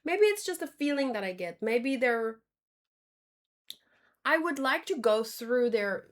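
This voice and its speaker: A woman's voice: fairly high pitch, very animated, a little slow. A woman talking casually and informally as a livestreamer giving commentary, often reworking her sentences as she goes. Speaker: streamer reacting to manga.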